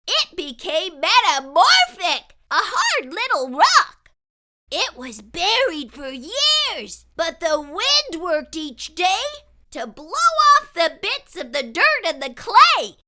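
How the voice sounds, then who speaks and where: A woman voicing an excitable young boy who spins a tall tale in dramatic, sing-song rhyme for a storybook read-aloud. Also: very high-pitched, slow pace, voice pushed up, full character voice. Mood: excited, insistent.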